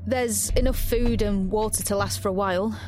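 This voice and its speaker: An actress as a lonely, scared teenage girl confiding her story in a sci-fi audio drama. Her mid-pitched voice carries the narration over faint music. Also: steady tone, normal speed, a little mellow, close to an everyday voice. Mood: bleak, fearful. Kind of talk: first-person confessional audio drama narration.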